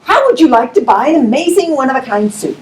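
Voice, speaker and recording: A woman's voice: high voice, very animated, fast, echoey room, low-quality recording. A woman reading a coaxing trickster saleswoman. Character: smooth-talking female trickster.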